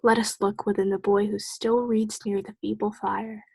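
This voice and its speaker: A woman playing a calm, otherworldly guiding spirit in a radio play, speaking in a breathy half-whisper. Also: medium pitch, dry recording. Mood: gently probing. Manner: slow, solemn guiding.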